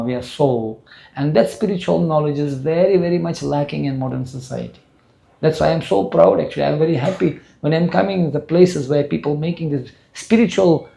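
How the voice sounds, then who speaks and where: Russian-accented older man, gravelly and dark. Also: fairly high pitch. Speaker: spiritual teacher. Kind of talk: lecture to a temple audience.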